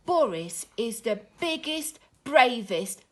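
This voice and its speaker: A woman voicing an excitable, emotional young male bear in an exclamatory storybook manner. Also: on the high side, slow, voice pushed up, exaggerated voice. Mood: joyful, adoring. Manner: wailing, exclamatory.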